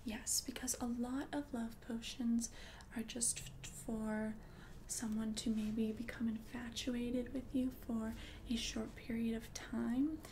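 A woman playing a gentle, helpful witch shopkeeper in a soothing, soft-spoken ASMR roleplay, hushed and close to the microphone. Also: on the high side, level tone, slow. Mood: gentle, attentive. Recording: clear background noise and ambience.

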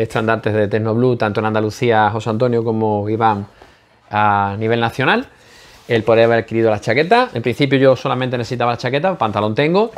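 A man in his forties with a Spanish accent, hosting a spearfishing channel and chatting animatedly to camera from his home office on a lapel mic. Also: lowish voice. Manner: animated, repeats phrases, asks "¿de acuerdo?". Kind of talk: casual vlog talk to camera. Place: small home office with desk and shelves.

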